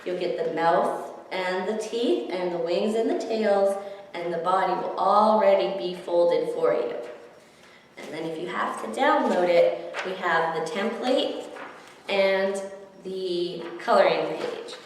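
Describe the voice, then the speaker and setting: A woman's voice: fairly low pitch, slow, a little hiss or hum. A woman hosting story time, narrating and explaining things simply for young children. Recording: echoey hall, distant microphone.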